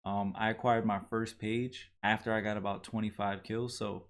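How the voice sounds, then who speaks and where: A man's voice: fairly low pitch, little pitch movement, normal speed. A man hosting a gaming video, giving casual, explanatory guide commentary straight to his viewers. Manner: explanatory, addresses viewers directly.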